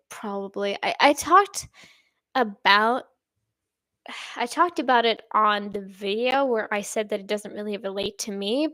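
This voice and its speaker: Young female streamer, casual and rambling, slightly raised pitch, dry booth-like sound. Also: dry recording. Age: young adult. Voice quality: somewhat deep voice. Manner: chatty, rambling, frequent fillers and soft laughs. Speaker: reaction channel host. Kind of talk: live stream chat reading comments.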